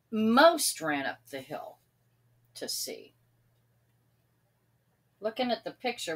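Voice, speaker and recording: Slow, animated woman reading a storybook. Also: fairly low pitch, breathy edge. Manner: expressive, pausing to address young listeners. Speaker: storybook reader. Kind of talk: expressive read-aloud of a children's story.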